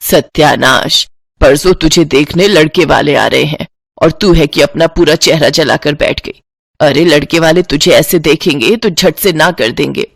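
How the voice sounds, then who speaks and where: Woman doing fussy middle-aged mom in a bedtime story, lowered voice, dramatic drawn-out wailing. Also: gravelly, dry recording, clean studio sound, exaggerated voice. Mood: scolding. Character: fretful middle-aged mother, scolding and fussing.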